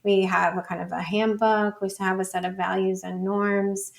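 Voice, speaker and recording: A woman's voice: medium pitch, little pitch movement, moderate pace, gravelly. A woman researcher speaking as an interview guest, informal and conversational, sprinkling her points with "like" and "you know". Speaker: academic researcher, podcast guest.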